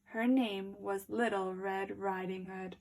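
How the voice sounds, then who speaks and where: A woman storyteller reading aloud to children, expressive and deliberate, with dramatic emphasis. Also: mid-range voice, a little hiss or hum.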